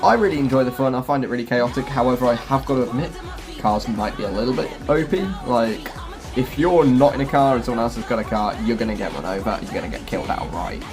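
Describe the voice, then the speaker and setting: A man's voice: medium pitch, fast, crowd noise. A man hosting a gaming video, giving casual, chatty guide commentary and explaining as he goes. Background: music.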